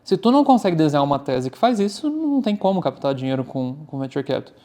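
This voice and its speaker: Candid Brazilian man, fairly high voice. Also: quick pace. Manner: candid, animated, uses "cara" often. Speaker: startup founder and guest. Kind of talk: casual interview talk.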